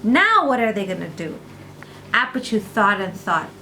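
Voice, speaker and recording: A woman's voice: medium pitch, big pitch swings, moderate pace, pushed and bright, recorded from a distance, slight background noise, rough recording. A woman narrating a bedtime story for small children, repeating phrases for effect.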